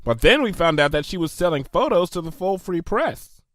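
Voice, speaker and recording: A chatty mare from a pony storybook, played by a man, with a raised pitch and lively intonation. She gossips freely and sounds skeptical, in a dry recording. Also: normal speed, no echo. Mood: gossipy. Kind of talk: fan fiction storybook character voice.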